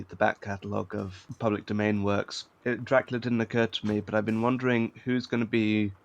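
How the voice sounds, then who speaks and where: A man's voice: lowish voice, highs cut off. A man talking casually as an interview guest and voice actor, with a dry, wry manner.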